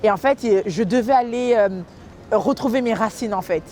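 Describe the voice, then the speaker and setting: A woman's voice: fairly high pitch, normal speed, darkish tone, a little hiss or hum. A woman who grew up in Guadeloupe giving a personal testimony in an interview as a food truck co-founder. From Guadeloupe, France.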